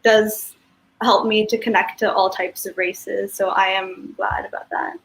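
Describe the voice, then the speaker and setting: A woman's voice: medium pitch, little pitch movement, a little slow, fairly bright tone, rough recording. A young woman student on a panel, speaking thoughtfully and dropping "like" often. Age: young adult. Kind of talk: reflective casual talk.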